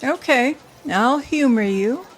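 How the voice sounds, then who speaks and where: A woman acting in an audio drama as a guarded, wealthy businesswoman with a measured, dryly teasing delivery. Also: high voice, animated, faint music behind, poor audio. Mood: guarded, amused. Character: dry, guarded, wealthy woman steel mill owner. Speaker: actor.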